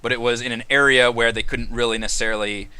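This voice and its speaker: Guy hosting a casual tabletop roundtable, smooth clear voice, bright tone, chatty asides. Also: medium pitch, steady tone, fast. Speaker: host of a tabletop RPG discussion.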